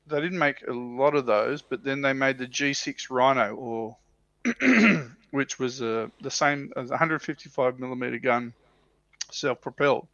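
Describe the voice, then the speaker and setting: A man chatting casually as a livestream panelist, explaining things and often clearing his throat. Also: medium pitch, measured pace, a bit bright. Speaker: livestream panelist on tabletop wargaming. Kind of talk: casual discussion, explaining slides. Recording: dull lo-fi roomy livestream audio.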